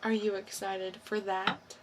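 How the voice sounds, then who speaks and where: A woman's voice: medium pitch, flat delivery, measured pace, noisy background. A woman co-hosting a podcast in a casual, conversational style. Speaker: podcast co-host. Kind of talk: casual chat.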